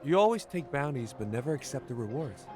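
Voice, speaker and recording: A man's voice: medium pitch, big pitch swings, fairly quick, lightly acted. An actor as a nosy, needling male bartender. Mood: casual needling. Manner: conversational, probing. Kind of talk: video game voice acting. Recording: music behind the voice.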